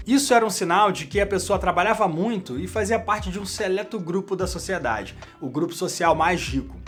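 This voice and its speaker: High-voiced Brazilian man explaining. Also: fairly bright tone. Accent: Brazilian Portuguese. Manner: direct, addresses the viewer as "you". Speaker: career channel host. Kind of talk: scripted explainer to camera.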